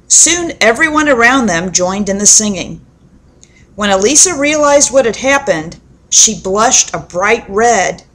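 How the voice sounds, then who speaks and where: A woman telling a children's story aloud in an expressive, deliberate storybook manner, with dramatic pauses. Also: a little high.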